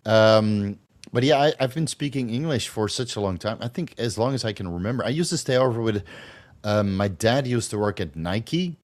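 A man's voice: lowish voice, expressive, moderate pace. A man in his forties from the Netherlands with a slight Dutch accent, tipsy and rambling loosely as he hosts a casual livestream Q&A at home. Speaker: host.

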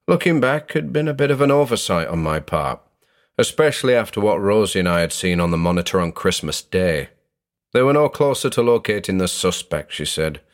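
A man narrating a first-person horror story in a measured, dramatic way. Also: low voice.

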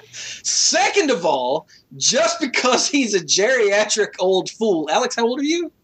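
A woman's voice: medium pitch, big pitch swings, measured pace, husky. A woman in her thirties co-hosting a podcast, chatting casually and reading aloud in an amused, giggly manner. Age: 30s. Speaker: podcast co-host reading out reviews.

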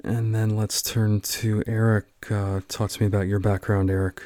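Low, flat-voiced male podcast host. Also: moderate pace, dry recording, studio quality. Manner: friendly, filler-heavy interviewer. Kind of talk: hosting a roundtable interview.

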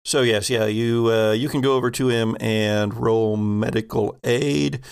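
A man runs a tabletop sci-fi game in a mid-pitched, gravelly voice. He describes scenes slowly, sometimes halting to think aloud. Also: steady tone. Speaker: game master.

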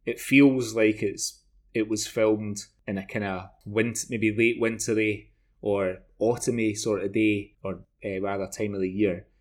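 A man in his forties with a Glaswegian Scottish accent, chatting casually as a podcast co-host in a rambling, halting way. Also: on the low side, steady tone. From Glasgow, Scotland. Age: 40s. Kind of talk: casual discussion of television.

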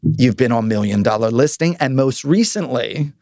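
A man's voice: medium pitch, animated, moderate pace, darkish tone, no room sound, clean studio sound. A man hosting an interview in a casual, conversational way, informal and affirming with his guest. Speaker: podcast host interviewing a guest.

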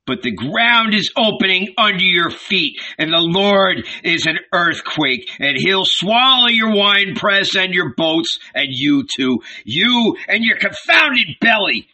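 A man's voice: sits high, lively intonation, slow, hardly any pauses, pushed and bright, pitched above the performer's own voice, heavily acted. A man voicing a fiery, bony prophet of doom in a dramatic audiobook, bellowing and fervent, drawing out his solemn warnings. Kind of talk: dramatic audiobook character reading.

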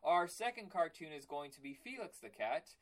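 A man with a raspy voice hosting a casual cartoon podcast, telling stories and acting out quotes. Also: on the high side, moderate pace. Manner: animated storytelling, acts out quotes. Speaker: podcast host. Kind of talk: casual chat.

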